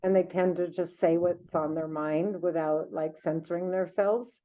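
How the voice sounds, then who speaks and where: A woman's voice: on the low side, fairly even intonation, normal speed, warm tone, slight background noise. A woman chatting casually as a podcast co-host, musing in a reflective way. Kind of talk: casual unscripted conversation. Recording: thin phone-like audio.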